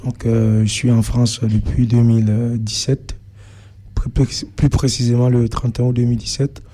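Young man, soft mellow Cameroonian accent, hesitant. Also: medium pitch, flat delivery. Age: young adult. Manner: hesitant, halting delivery with frequent filled pauses. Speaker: interview guest. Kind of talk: personal account in an interview.

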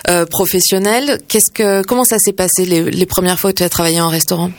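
A woman hosting an interview, asking questions in a measured, reflective way with some fillers. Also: lowish voice, fairly even intonation, slight background noise. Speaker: interviewer, host. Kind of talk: interview conversation.

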